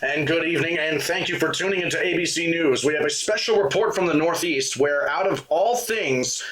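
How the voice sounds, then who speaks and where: A man voicing a composed male TV news anchor who reads a scripted report in a formal, even newsreader manner. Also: fairly high pitch, a little fast, natural voice, low-quality recording. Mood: steady.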